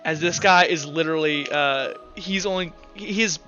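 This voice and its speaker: A man's voice: on the high side, moderate pace, pushed and bright. A man casually narrating and refereeing a tabletop roleplaying game as game master. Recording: dull lo-fi sound, music behind.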